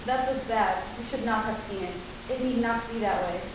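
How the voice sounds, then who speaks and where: A woman playing a composed, protective alien matriarch in a dramatic story reading, speaking in a measured, formal, persuasive way. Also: fairly high pitch, lightly acted. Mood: grave, calm. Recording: distant, very echoey room, muffled, hissy.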